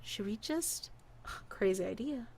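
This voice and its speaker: A woman's voice: mid-range voice, slow, creaky, slightly rough. Casual, chatty woman telling a story. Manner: animated, acts out quoted dialogue. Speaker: podcast guest storyteller.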